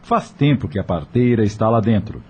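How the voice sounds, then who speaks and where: Low, mellow, husky male narrator. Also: expressive, moderate pace. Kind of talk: scripted radio drama narration.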